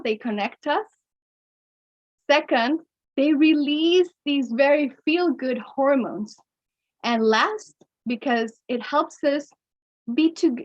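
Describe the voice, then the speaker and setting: A woman from Mexico with a Mexican accent, a coach giving an expressive webinar presentation that she breaks up with small chuckles. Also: on the high side, muffled recording. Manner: expressive, chuckles between points, speeds up and slows down. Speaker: keynote speaker and coach.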